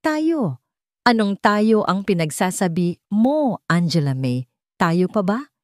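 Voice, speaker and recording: A woman's voice: medium pitch, very animated, slow pace, a little mellow, no room sound, clean studio sound. A woman voicing a bitter, wounded husband who accuses and commands in a mocking way, in a dramatic audio story. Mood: bitter mockery.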